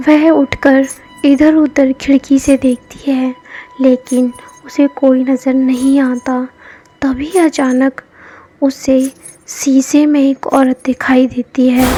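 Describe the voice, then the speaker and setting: A woman narrating a scripted horror story in a measured, even storytelling manner. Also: high-pitched. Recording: dull lo-fi with background music.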